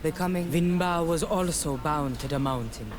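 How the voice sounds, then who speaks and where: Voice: mid-range voice, normal speed, close to an everyday voice. Actor as solemn native guide over noisy ambience. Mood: solemn, reverent. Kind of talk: video game voice acting.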